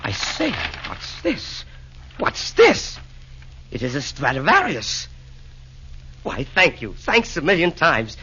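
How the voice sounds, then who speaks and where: An actor playing a meek, repentant former tyrant emperor with hesitant, humble rhymes, in 1940s radio drama style. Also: male voice, fairly high pitch, huge pitch swings, slow pace, long pauses, bright, forceful tone, slight background noise, heavily acted. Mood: overwhelmed, grateful. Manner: hesitant, humble, rhyming theatrical delivery. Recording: dull 1940s radio broadcast recording.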